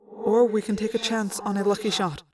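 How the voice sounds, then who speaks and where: A woman voicing an earnest young male alien pilot with formal, measured speech, in an audiobook story. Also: mid-range voice, little pitch movement, distant mic, crowd noise, lightly acted. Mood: grave, measured.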